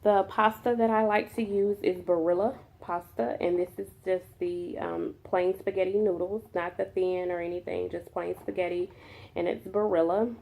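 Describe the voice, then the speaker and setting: A woman vlogger with a cold that makes her nasal, talking softly and unhurriedly to her viewers with many ums. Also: fairly low pitch, fairly even intonation, normal speed, gravelly. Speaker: mukbang vlogger. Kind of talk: casual talk to camera.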